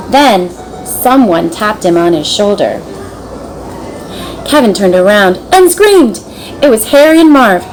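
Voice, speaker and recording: Animated woman reading a children's story. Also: on the high side, slight background noise, rough recording. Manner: dramatic storytelling. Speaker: storybook reader. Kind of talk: expressive scripted children's story narration.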